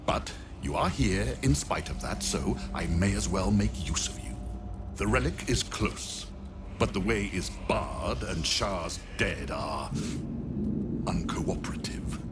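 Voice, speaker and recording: A man's voice: low voice, animated, over loud music. An actor playing an arrogant, cold male necromancer in a video game cutscene, speaking with haughty disdain. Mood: condescending. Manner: haughty, disdainful, slow and deliberate.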